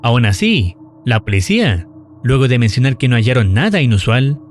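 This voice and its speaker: A man giving a scripted horror recap narration in a measured, serious storytelling manner. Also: medium pitch, very animated, slight background noise.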